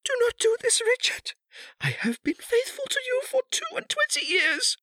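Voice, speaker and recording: Man doing a high, tearful, pleading middle-aged gentlewoman. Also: very animated, moderate pace, no room sound, clean studio sound, exaggerated voice. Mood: desperate, tearful. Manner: faint, tearful, beseeching. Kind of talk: dramatic audiobook character reading.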